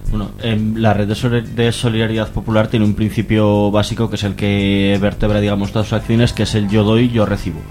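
A man's voice: lowish voice, flat delivery, brisk, hardly any pauses, darkish tone, faint music behind. A man with an accent from Spain explaining things as an activist guest in a radio interview, in a hesitant, careful way. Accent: Spanish from Spain.

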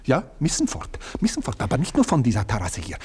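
A scheming servant. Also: male voice, huge pitch swings, fast talker, a little mellow, a little hiss or hum. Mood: insistent. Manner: clipped, officious, bending pitch sharply. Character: scheming, self-assured male household servant. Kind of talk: radio play acting.

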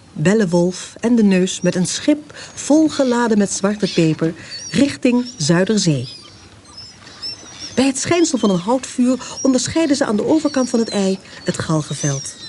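An actress narrating a historical radio drama in a measured, literary storytelling manner. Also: female voice, medium pitch, darkish tone, slight background noise, lightly acted. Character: storyteller of a historical smuggling tale.

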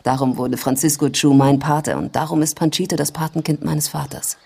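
A woman playing a candid, knowing adult daughter who confides family secrets in a measured way in a German radio drama. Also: deep voice, fairly even intonation, vocal fry, darkish tone, breathy edge, heavily acted. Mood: grave, measured.

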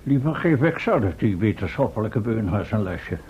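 Pompous professor, husky, muffled recording. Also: male voice, fairly low pitch, sing-song, moderate pace, a little mellow, exaggerated voice. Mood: indignant. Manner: pompous, declamatory, formal. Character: pompous, indignant, self-important time-travelling male professor. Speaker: actor. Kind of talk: Dutch radio drama acting. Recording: muffled, dull old radio recording.

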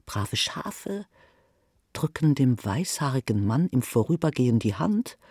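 A husky voice narrates a dramatic literary monologue. The delivery is theatrical and slow, with big swings in pitch, recorded very dry and close. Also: dry recording. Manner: theatrical, expressive. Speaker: narrator and performer.

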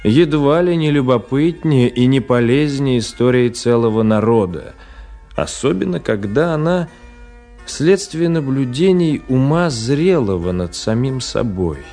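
An actor playing a reflective author who narrates a radio play in a measured, literary manner. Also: male voice, medium pitch, darkish tone.

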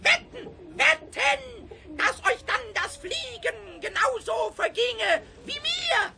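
A man voicing a cheeky, excitable little male kobold in a 1970s children's radio play, squeaky and creaky, stammering and exclaiming. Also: takes it slowly, a crowd in the background, full character voice. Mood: cheeky taunting.

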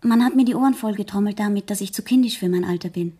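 A woman's voice: mid-range voice, quick pace, dark tone, breathy edge, natural voice. An actress playing an outspoken, proud teenage girl in a German radio play, speaking earnestly and frankly. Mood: earnest, firm.

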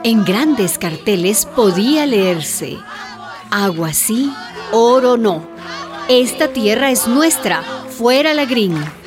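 Slow, measured woman narrating. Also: fairly high pitch, animated. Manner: measured, dramatic storytelling. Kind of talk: scripted radio drama narration. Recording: narration over music and crowd noise.

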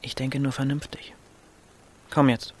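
A man's voice: mid-range voice, flat delivery, measured pace, a little hiss or hum, close to an everyday voice. An actor playing a restless, defiant and pragmatic teenage boy on the run in a German radio drama. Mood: guarded, urgent.

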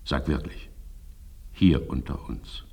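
A brooding, suspicious landowner in a radio drama. The man's voice is extremely low and husky, full of fry. He takes his time over the words. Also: takes it slowly, darkish tone, full character voice. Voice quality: very gravelly, husky.

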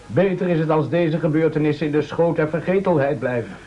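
An actor playing a servile, melodramatic castaway sidekick, eagerly agreeing, in a dull, noisy radio play recording. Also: male voice, fairly high pitch, a little fast, dark tone, lightly acted. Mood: fawning, mock sorrowful.

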